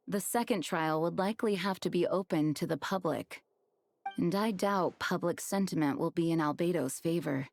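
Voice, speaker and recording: A woman playing a composed, dutiful woman in authority, grave and courteous, speaking in a measured, formal way in a video game. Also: lowish voice, a little mellow, close to an everyday voice.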